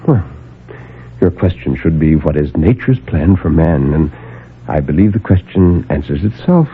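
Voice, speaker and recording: An actor playing a calm, superior superhuman guardian, speaking with measured, lofty condescension in a 1950s radio drama. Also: male voice, extremely low pitch, a little hiss or hum. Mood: calm, condescending. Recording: narrowband old AM radio recording.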